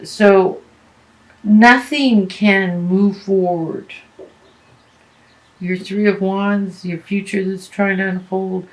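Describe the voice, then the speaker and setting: A woman giving a tarot reading, losing her voice, talking in a halting way with many fillers and restarts. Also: medium pitch, low-quality recording. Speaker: tarot reader.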